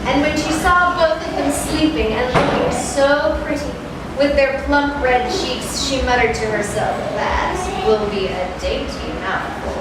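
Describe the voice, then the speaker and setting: A woman reading a fairy tale aloud as a storyteller, with dramatic, expressive narration. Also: high voice. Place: reverberant venue at a live event. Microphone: distant microphone. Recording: echoey, noisy room from far off.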